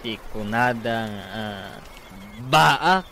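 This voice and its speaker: A befuddled, tipsy drinker from a radio comedy. He drags his words out extremely slowly in a gravelly creak, with grunts between them. His accent is northern Philippine. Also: medium pitch, bright, forceful tone, exaggerated voice. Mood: woozy, befuddled. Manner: halting, drawling, full of grunts. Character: tipsy, dim-witted unmarried drinker. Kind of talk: radio comedy drama acting. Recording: faint background noise.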